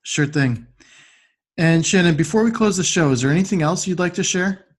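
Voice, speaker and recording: Higher-pitched man hosting an interview. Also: normal speed. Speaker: podcast host, interviewer.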